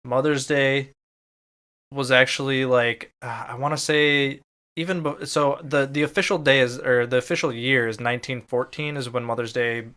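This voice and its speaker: A man's voice: mid-range voice, fairly even intonation, moderate pace, pushed and bright, smooth. A man talking casually as a podcast co-host, informal and hedging as he explains things. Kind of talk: casual chat.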